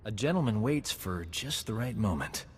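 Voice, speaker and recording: A man's voice: lowish voice, animated, normal speed, noisy background, close to an everyday voice. A man playing a suave, cocky combat medic and charmer in a video game. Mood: smooth, cocky. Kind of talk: scripted video game cutscene acting. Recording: hall reverb under clear music.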